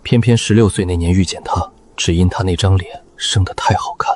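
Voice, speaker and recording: A man's voice: low voice, fairly even intonation, a little fast. A man playing a composed, brooding ancient mystic reborn as an actor, in earnest, theatrical scripted drama. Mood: wistful. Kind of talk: scripted dramatic monologue and dialogue.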